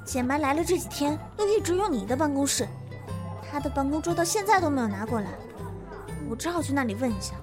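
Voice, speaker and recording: Woman playing young female assistant, high voice, blunt, defensive, loyal, office radio drama. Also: animated, fast, over music. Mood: agitated, defensive. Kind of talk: Chinese audio drama acting.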